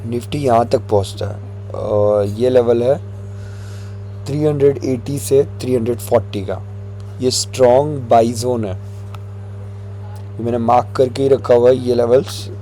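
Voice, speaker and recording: A man with a hoarse throat, walking viewers through stock chart levels in a relaxed tutorial, mixing in English phrases. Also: mid-range voice, a little slow, pauses often, faint music behind, low-quality recording. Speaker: stock market analyst.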